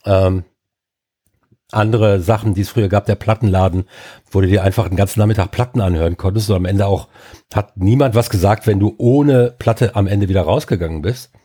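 A man's voice: fairly low pitch, brisk, warm tone, slightly rough. Older man with a stuffy cold, ranting. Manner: ranting, emphatic, many fillers. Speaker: podcast co-host. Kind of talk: casual opinionated chat.

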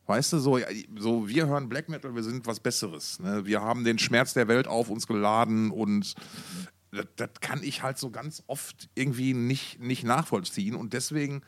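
A man talks as a podcast co-host in a loose, conversational style. He rambles through his stories and drops in wry, mock-formal phrases. His pitch is ordinary for a man. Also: normal speed. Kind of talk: casual podcast chat, anecdotes.

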